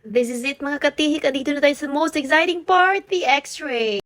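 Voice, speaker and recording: A woman's voice: high voice, brisk, a bit bright. A woman nurse with a Filipino accent, narrating a chatty, upbeat vlog with practical tips and switching languages mid-sentence. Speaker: nurse and vlogger. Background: background music.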